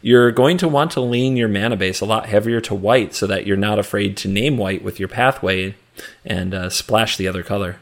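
A man's voice: lowish voice, moderate pace. A man co-hosting a casual discussion show about a card game, talking in a wry, joking way. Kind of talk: casual chat and game commentary.

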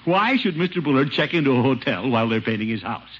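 An actor playing a blustering, scheming but good-hearted middle-aged uncle in a theatrical 1950s radio comedy style. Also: male voice, mid-range voice, expressive, fast, husky. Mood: scheming, neighborly. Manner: blustery, theatrical, self-important. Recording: muffled, noisy vintage radio recording.

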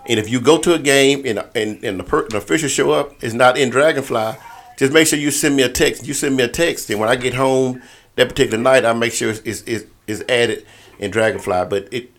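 A man with a mid-pitched voice speaks as the organizer on a group call, briefing officials informally. He often repeats himself and circles back to the same stock phrases as he explains. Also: a bit bright. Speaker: officials' assigner leading a conference call. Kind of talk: informal briefing to volleyball referees.

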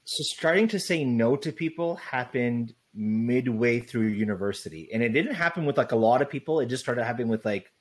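A young man guest in a casual interview, talking loosely with lots of "like" and "right?", with a loud voice. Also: medium pitch, lively intonation, fairly quick, few pauses. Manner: loose, rambling, heavy on "like" and "right?".

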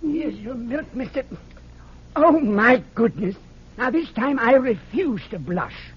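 A prim butler in 1940s radio style. His voice is high, polite and easily embarrassed. The sound is dull, with some hiss and noise. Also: big pitch swings, slow, heavily acted. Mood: flustered. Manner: proper, hesitant, easily embarrassed. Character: prim, deferential, flustered male butler. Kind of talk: 1940s radio comedy-drama acting. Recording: muffled, noisy old radio recording.

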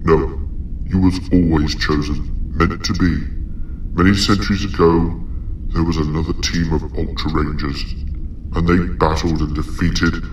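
A man voicing a wise, fatherly old mentor being, speaking slowly and gravely. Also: low voice, steady tone, reverberant, distant mic, a little hiss or hum, voice pushed down, poor audio. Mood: solemn.

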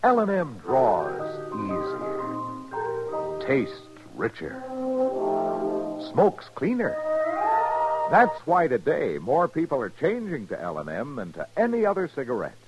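A man delivering a polished advertising pitch as a 1950s radio commercial announcer. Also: wildly swooping pitch, takes it slowly, long pauses. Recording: muffled old radio recording, loud music.

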